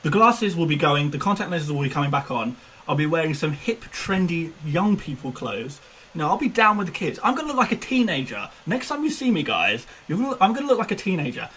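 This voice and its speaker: A man's voice: on the high side, sing-song, fairly quick, a bit bright, slightly rough, low-quality recording. A man in his twenties chatting casually on a live stream, self-mocking and laughing often. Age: 20s. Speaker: fantasy football streamer.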